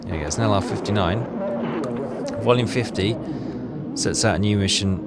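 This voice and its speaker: A man's voice: on the low side, normal speed, heavy background noise. A man hosting a game playthrough, thinking aloud in casual commentary.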